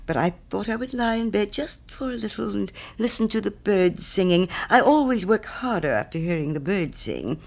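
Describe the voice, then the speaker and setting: A man's voice: sits high, expressive, moderate pace, creaky, hardly any pauses, pitched above the performer's own voice. A man voicing a naive, humble little man in a storybook tale, earnest and eager to please his friend. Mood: meek, weary. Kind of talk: storybook character reading.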